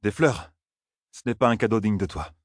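A man voicing a shy, awkward young shepherd in an audiobook, speaking quietly and hesitantly in fumbling phrases. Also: on the low side, moderate pace, no echo, studio quality, pitched above the performer's own voice, natural voice. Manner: hesitant, fumbling, quiet, breaking off mid-sentence.

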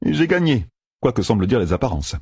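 Fantasy audiobook rogue, played by a man: young, cocky, mocking, animated delivery, pitched well up. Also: fairly quick, husky, no echo, studio quality. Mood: breezy. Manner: glib, exclamatory, mocking banter. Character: cocky, grinning young rogue gambler, teasing and brash. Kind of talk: audiobook fantasy character dialogue.

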